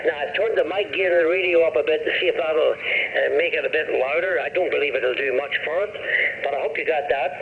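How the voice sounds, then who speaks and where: A man's voice: a little high, a little fast, gravelly, few pauses, a crowd in the background. A man with a Northern Irish accent chatting casually as an amateur radio operator, heard through a radio link. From Northern Ireland. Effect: radio transmission. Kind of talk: casual radio contact chat. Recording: tinny, noisy shortwave radio link.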